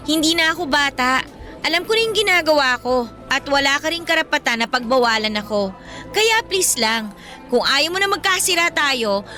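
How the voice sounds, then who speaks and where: Bright, high-voiced, defiant young Filipina girlfriend, acted by a woman. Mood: firm, fed up. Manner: assertive, emphatic. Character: defiant young girlfriend, outspoken and loyal to her friend. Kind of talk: radio-drama dialogue acting. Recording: music bed behind the voice.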